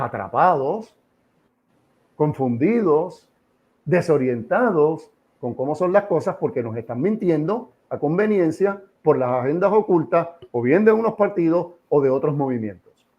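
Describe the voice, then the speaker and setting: A muffled, lo-fi recording of a mature Puerto Rican man giving his opinions in an interview. He lectures informally and emphatically, repeating key phrases and posing rhetorical questions in a medium-pitched voice. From Puerto Rico. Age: middle-aged or older. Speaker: interview guest, association president.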